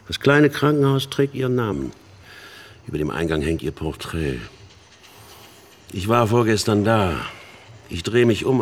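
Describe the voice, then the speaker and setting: Deep-voiced, embittered middle-aged storyteller. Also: male voice, full character voice. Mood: agitated, rueful. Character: embittered older man haunted by his missionary sister's death. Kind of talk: dramatic monologue in a German radio play.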